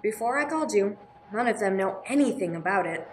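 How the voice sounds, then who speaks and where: A woman playing a gentle, earnest, sorrowful nun in a radio play, speaking with some hesitation. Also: mid-range voice, expressive, fairly quick, close to an everyday voice, poor audio. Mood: earnest, helpful. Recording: clear background noise, room ambience.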